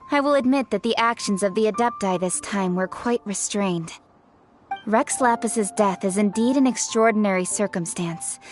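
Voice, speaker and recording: A woman's voice: medium pitch, close to an everyday voice. A woman playing a proud, forthright young female official in a video game, speaking formally and assertively. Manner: formal, assertive, crisp phrasing.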